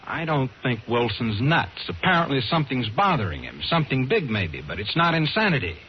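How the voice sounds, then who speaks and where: An American actor playing a stubborn, hard-boiled talent agent in an old-time radio drama, dramatic and tough-talking. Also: male voice, medium pitch, sing-song, fairly quick, a little hiss or hum, close to an everyday voice. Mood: insistent, skeptical. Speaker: radio drama lead and narrator. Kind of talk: old-time radio drama acting and first-person narration. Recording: muffled vintage radio with music.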